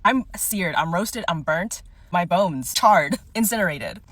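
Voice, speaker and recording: A woman's voice: on the low side, animated, unhurried, fairly bright tone, dry recording. A woman giving casual, humorous commentary with playful, dramatic exaggeration. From Korean background.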